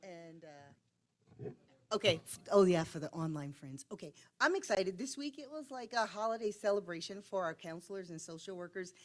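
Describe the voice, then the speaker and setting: A woman with a big, enthusiastic voice giving a staff report at a school board meeting. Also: on the low side. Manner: loud and enthusiastic, says she has a big voice. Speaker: district staff presenter.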